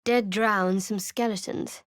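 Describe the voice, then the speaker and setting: A woman's voice: mid-range voice, fairly even intonation, a little slow, dry recording, lightly acted. A woman voicing a guarded, sardonic young cleric adventurer in a video game, speaking with dry, cutting wit and cool reserve. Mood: wry.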